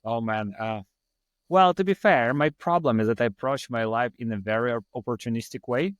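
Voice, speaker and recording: A man's voice: mid-range voice, a little fast, no echo, clean studio sound. A man in his thirties from Ukraine with a Ukrainian accent, a podcast guest telling his story in a rambling, self-deprecating way. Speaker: podcast guest, startup founder. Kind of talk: casual storytelling in an interview.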